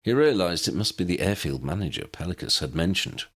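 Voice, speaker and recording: A man's voice: low-pitched, very animated, fairly quick. A man reading a scripted story aloud as an audiobook narrator.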